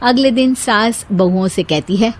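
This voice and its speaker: A woman's voice: a little high, a little slow. A woman storyteller reading a scripted moral tale as narrator.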